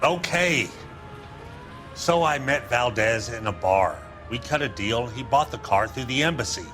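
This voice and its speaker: A 1940s American used-car dealer for a crime video game. He is bright and forceful, swinging widely in pitch, full of glib, evasive sales patter. Faint music plays behind him. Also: expressive, unhurried. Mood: defensive, grudging. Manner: smooth-talking salesman patter, defensive. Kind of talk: dramatic game acting, period crime story.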